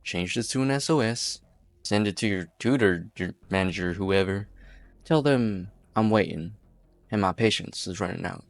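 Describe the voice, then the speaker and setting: A man playing a calm, menacing leader of hostage takers in an amateur game fan dub, unhurried, folksy and coolly threatening. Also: lowish voice, no room sound, lightly acted.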